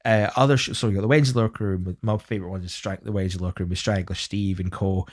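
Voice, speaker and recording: A man's voice: deep voice, steady tone, rapid-fire. Chatty Scottish man co-hosting. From Scotland. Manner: chatty, plugs the show's channels. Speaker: podcast co-host. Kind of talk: casual podcast chat.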